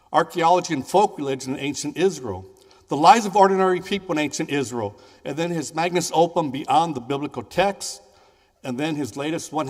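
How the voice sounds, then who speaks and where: A man in his sixties standing with a handheld microphone in a large wood-paneled hall, introducing the evening's speaker and reading out book titles informally. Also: medium pitch. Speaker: event host introducing a speaker. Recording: reverberant hall.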